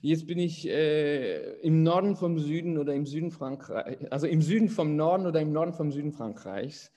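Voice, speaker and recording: Hesitant French man, mellow tone. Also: a little high, moderate pace, big-room sound. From France. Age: 40s. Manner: hesitant, searching for words with many fillers. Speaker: guest, education author. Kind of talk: conversational interview answers.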